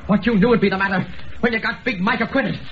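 An actor playing an agitated, exasperated friend giving urgent warnings in an old-time radio drama. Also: male voice, high-pitched, fast talker, close to an everyday voice. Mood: urgent alarm. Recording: dull, faintly noisy old radio recording.

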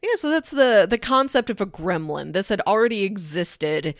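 A woman's voice: medium pitch, sing-song, moderate pace, dry recording, clean studio sound. A woman co-hosting a podcast in casual chat, explaining things informally. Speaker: podcast co-host.

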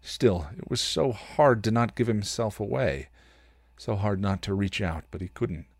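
A man reading fan fiction aloud as a podcast host, in expressive story narration. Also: on the low side, measured pace, no room sound.

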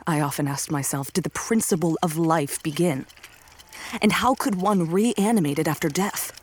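An ambitious young scientist of a gothic radio play , acted by a woman, speaking in formal period language with impassioned, obsessive intensity. The voice sits on the low side for a woman, and there is clear noise behind it. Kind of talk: dramatic radio play narration and acting.

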